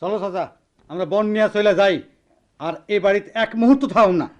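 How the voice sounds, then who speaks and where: An actor playing an impatient, offended young bridegroom in a radio drama, with a rural Bangladeshi accent. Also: male voice, sits high, fairly quick, natural voice. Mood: offended, hurried. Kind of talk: Bengali radio play acting.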